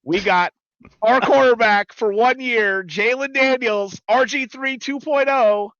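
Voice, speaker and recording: A man's voice: very high voice, a little slow, a bit bright, no echo, lo-fi sound. A man playing a swaggering Southern football coach. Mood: boastful. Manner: swaggering, indignant, calls people sir. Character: blustery boastful male football head coach, middle-aged. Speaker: podcast co-host in character. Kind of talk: comedic character bit.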